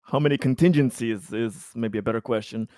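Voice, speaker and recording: Man, roleplaying game player, medium pitch, smooth clear voice, deliberate casual explaining, dry recording. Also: warm tone, no room sound.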